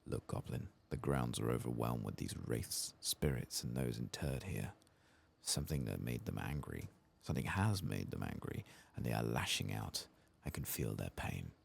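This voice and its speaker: Man playing a grave, formal fantasy-game tomb keeper: soft-spoken, very low, gravelly and sorrowful. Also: lively intonation, normal speed, full character voice. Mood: weary, sorrowful. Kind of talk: reading fantasy game quest dialogue aloud.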